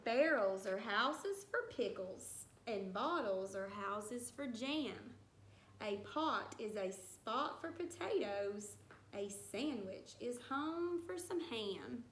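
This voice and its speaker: Woman, primary teacher, children's read-aloud, singsong rhymes, unhurried delivery, echoey room, faint background noise. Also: a little high, animated, fairly bright tone. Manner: deliberate, singsong storybook phrasing with playful asides. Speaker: first-grade teacher reading aloud. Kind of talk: read-aloud of a rhyming picture book for young children. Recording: roomy echo with some background noise.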